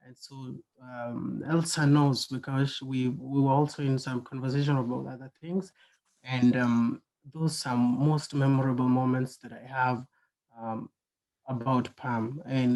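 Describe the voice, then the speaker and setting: Soft-spoken man giving a halting tribute. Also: mid-range voice, poor audio. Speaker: speaker at a memorial. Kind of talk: spoken tribute on a video call. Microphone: video call microphone.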